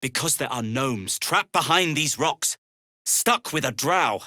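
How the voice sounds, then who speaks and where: A world-weary little gnome from a fantasy video game. He is prim and wry, forever exasperated, phrasing things formally. His intonation swings widely at a medium pitch, and the sound is very dry. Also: animated, a little slow, sharp tone, no echo. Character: fussy, sardonic, world-weary male gnome. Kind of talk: video game character dialogue.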